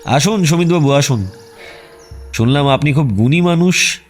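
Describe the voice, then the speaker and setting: A man playing a self-assured, wry detective in a radio drama, polite and probing with deadpan teasing. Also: on the high side, unhurried, faint music behind. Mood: courteous, probing.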